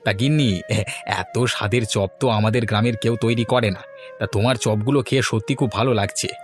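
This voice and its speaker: A man's voice: medium pitch, fast, close to an everyday voice. A man voicing a kindly, food-loving village husband in a cartoon story, exclamatory and folksy. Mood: pleased. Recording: music under the voice.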